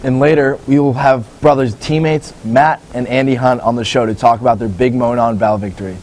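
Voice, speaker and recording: A man with a medium-pitched voice co-anchors a student newscast. He delivers short scripted items in a presenter's manner. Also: steady tone, fairly quick, a little mellow, low-quality recording. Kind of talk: scripted news reading.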